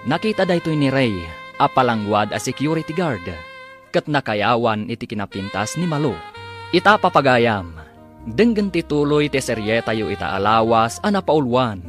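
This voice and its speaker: A man's voice: medium pitch, expressive, normal speed, soft background music. A man narrating a scripted recap as the announcer of a radio drama.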